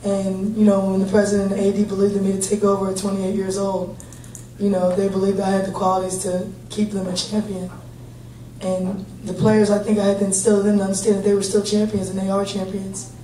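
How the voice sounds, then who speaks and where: A woman coach in her thirties speaks steadily and plainly at a post-game press conference. Her voice is mellow and her pitch stays fairly level. The sound comes from a distance, with a roomy echo and a faint hum behind it. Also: brisk. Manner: steady, plain-spoken, upbeat. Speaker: head basketball coach. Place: large conference room. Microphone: table mic, heard from a distant camera. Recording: echoey room, distant mic, faint hum.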